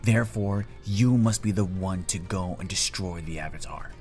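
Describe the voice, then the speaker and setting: A man voicing a cold, scheming middle-aged tyrant king in a fan story, speaking slowly and gravely in a hushed, breathy murmur. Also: low-pitched, faint music behind, close to an everyday voice. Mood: grave, commanding. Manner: slow, grave, menacing, deliberate pauses.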